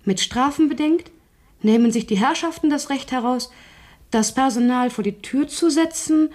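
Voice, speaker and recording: Radio play: energetic young union woman, devoted and articulate, somewhat high-pitched. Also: normal speed. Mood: earnest, reporting. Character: brisk, devoted young servant-union activist Sophie. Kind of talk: literary radio drama acting.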